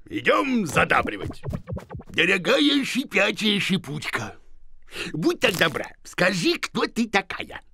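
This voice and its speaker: A man's voice: high voice, huge pitch swings, slow pace, vocal fry, pushed and bright, breathy edge, soft background music, heavily acted. A man voicing a silly, boastful hairy creature in a children's cartoon, pompous and given to exclaiming and muttering. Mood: scheming, pompous. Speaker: main character of children's cartoon. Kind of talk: animated cartoon acting.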